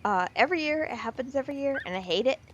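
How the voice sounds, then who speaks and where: A woman giving casual, excitable game commentary, rambling with fillers, her voice slightly off from the weather. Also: high voice, racing, lo-fi sound, slight background noise. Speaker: game video creator.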